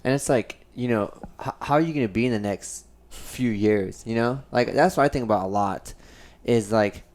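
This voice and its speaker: A man's voice: mid-range voice, moderate pace, a little mellow. A man hosting a casual podcast, talking in a reflective, rambling way with many restarts. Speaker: podcast host.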